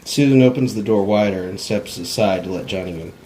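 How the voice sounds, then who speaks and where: A man's voice: on the low side, fairly quick, mellow, poor audio. A man reading out screenplay stage directions as narration at an informal table read. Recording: amateur camera recording with background noise.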